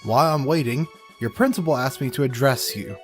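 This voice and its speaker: A man's voice: mid-range voice, a little fast, soft background music, close to an everyday voice. A man playing a confident, upbeat young superhero in a comic book dub, speaking in an earnest, encouraging way. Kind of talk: comic book dub acting, speech to students.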